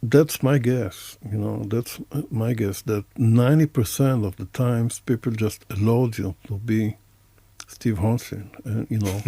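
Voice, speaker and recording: A man with an Israeli accent and a low-key, mellow tone that often crackles into fry. He talks like a reflective interviewer, feeling his way through roundabout sentences. The sound is close and dry. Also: steady tone, measured pace, no room sound. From Israel and the United States. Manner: hesitant, roundabout phrasing with many hedges. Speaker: interview host, professor. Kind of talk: casual reflective conversation.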